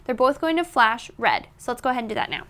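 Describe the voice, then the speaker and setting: A woman's voice: a little high, very animated, fast, a bit bright. A woman presenting a product tutorial in an instructional, step-by-step manner. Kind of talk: tutorial narration.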